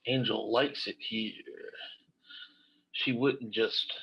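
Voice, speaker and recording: Man reading as an earnest, gravelly divorced mother. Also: on the low side, fairly even intonation, takes it slowly, highs cut off, full character voice. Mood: earnest, subdued. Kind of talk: dramatic comic book reading.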